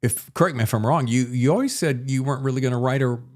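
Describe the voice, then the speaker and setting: A man's voice: medium pitch, quick pace, darkish tone. A man interviewing a guest conversationally as a podcast host.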